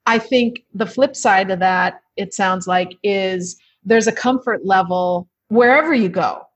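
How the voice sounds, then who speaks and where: A woman interviewing a guest in a relaxed, reflective way, checking in with short affirmations. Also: mid-range voice, a little slow, husky. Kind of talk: casual interview conversation. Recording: muffled lo-fi audio.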